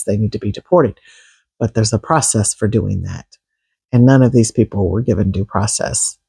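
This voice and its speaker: A man with a husky, froggy voice from a cold, speaking in a mellow, dark tone. He talks earnestly to his viewers, restarting his sentences often. The sound is dry and close. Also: medium pitch, dry recording. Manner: earnest, with frequent restarts and coughs. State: getting over a cold, froggy voice. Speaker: vlogger. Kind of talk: casual political commentary to camera.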